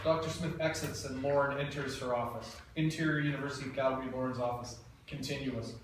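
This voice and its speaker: A man's voice: medium pitch, fairly even intonation, quick pace. Man plainly reading stage directions. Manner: plain, matter-of-fact. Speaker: narrator. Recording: echoey room, mic far off, faint noise.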